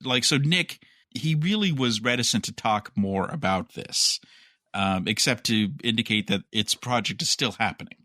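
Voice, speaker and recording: A man's voice: mid-range voice, normal speed, pushed and bright, no echo, studio quality. A man co-hosting a podcast, chatting casually and offering his opinions in a conversational way. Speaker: podcast co-host. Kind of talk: casual chat about entertainment news.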